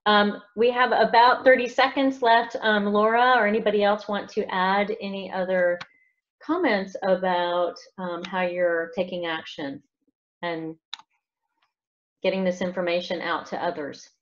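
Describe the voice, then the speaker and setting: A woman's voice: mid-range voice, steady tone, a little slow. Woman running a group meeting on a call, slightly lo-fi audio. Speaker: meeting facilitator. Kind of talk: facilitating a group conference call. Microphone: remote conference call.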